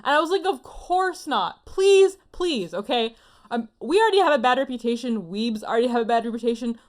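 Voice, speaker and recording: A woman co-hosting a casual anime podcast, speaking expressively with emphatic delivery. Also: sits high. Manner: expressive, exasperated emphasis, laughs readily. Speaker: podcast co-host. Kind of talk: casual chat about anime.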